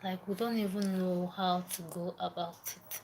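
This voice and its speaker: A woman's voice: fairly low pitch, fairly even intonation, normal speed, darkish tone, slight background noise, poor audio. A young woman from Nigeria with a Nigerian accent, chatting casually on a live stream, repeating herself and greeting viewers by name. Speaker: livestreamer.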